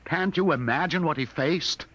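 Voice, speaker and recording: An actor playing a compassionate, commanding spaceship captain in a 1950s radio drama, grave and earnest. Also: male voice, fairly high pitch, moderate pace, a bit bright, lightly acted, poor audio. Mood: impassioned. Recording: vintage radio recording, faint noise.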